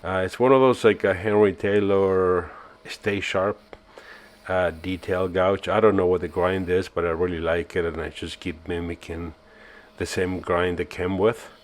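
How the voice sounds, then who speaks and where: Deep-voiced man narrating woodturning. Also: a little slow. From Argentina. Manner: relaxed and self-mocking, with many uh fillers. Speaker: woodturning channel host. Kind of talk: casual voice-over narration.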